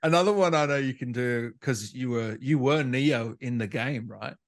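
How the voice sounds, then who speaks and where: A man's voice: medium pitch, moderate pace, no echo. Aussie guy podcast host, casual chat, mid voice, dry close audio. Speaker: podcast interviewer.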